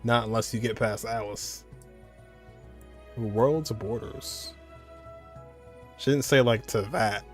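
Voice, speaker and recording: A man's voice: medium pitch, takes it slowly, long pauses, faint music behind. Male streamer, offhand gameplay commentary. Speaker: streamer reacting to a game.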